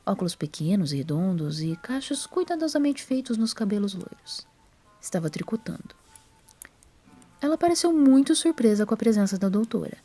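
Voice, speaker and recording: Female story narrator, Brazilian accent, mellow tone, breathy and husky delivery for scripted fiction. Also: medium pitch, sing-song, normal speed, faint music behind.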